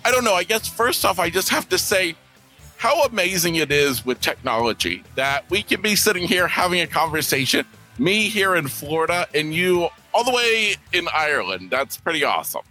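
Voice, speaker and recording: A man's voice: high-pitched, very animated, normal speed, sharp tone, soft background music, poor audio. A man hosting a podcast, eager and gushing as he welcomes and questions his guest. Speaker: podcast host. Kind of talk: enthusiastic interview hosting. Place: Florida.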